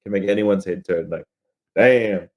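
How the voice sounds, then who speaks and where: A man's voice: deep voice, measured pace, crowd noise. A man co-hosting a podcast in a slangy, informal, chatty way. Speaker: podcast co-host. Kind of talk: casual chat.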